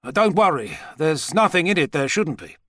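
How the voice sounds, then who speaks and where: A man's voice: fairly high pitch, very animated, normal speed, a bit bright, dry recording, clean studio sound, voice pushed up. A man voicing a brash, megalomaniac president with a boastful, folksy manner in an audiobook thriller. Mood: smug. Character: American president, brash, heavy-set, megalomaniac schemer.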